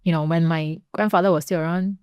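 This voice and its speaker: A woman's voice: sits low, steady tone, quick pace, clear, resonant tone, dry recording, lo-fi sound. Chatty Singaporean woman podcast host. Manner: chatty, storytelling, frequent "like" and "you know". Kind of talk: casual conversational interview.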